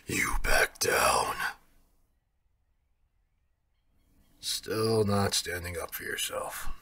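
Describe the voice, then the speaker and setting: A man's voice: extremely low pitch, gravelly, sharp tone, slightly rough, exaggerated voice. A man playing a taunting, smug werewolf rival in an ASMR audio drama, with a theatrical, mocking drawl. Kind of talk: ASMR audio roleplay acting.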